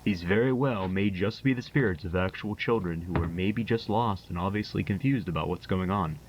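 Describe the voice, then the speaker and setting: A man playing a calm, methodical paranormal investigator, speaking his case notes in a measured, matter-of-fact way with hesitant pauses. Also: deep voice, noisy background, natural voice. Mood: pondering. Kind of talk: found-footage horror monologue, spoken as case notes.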